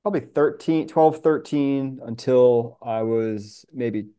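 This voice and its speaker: A man's voice: medium pitch, takes it slowly, dark tone, smooth. A middle-aged American man from New York chatting casually about his own experiences in an informal conversation. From New York, USA. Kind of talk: casual chat.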